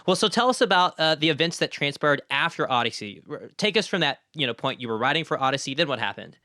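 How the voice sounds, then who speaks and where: A man hosts a conversational podcast interview in a bright, slightly high voice. He is encouraging and affirming, and the sound is dry and close. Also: animated, quick pace, no echo.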